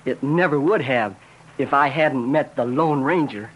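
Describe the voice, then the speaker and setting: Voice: mid-range voice, unhurried, highs cut off, lightly acted. An actor playing a plucky young American boy on a western ranch in a classic radio drama. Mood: earnest, proud. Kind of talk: 1940s-50s radio acting. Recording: old radio recording, faint noise.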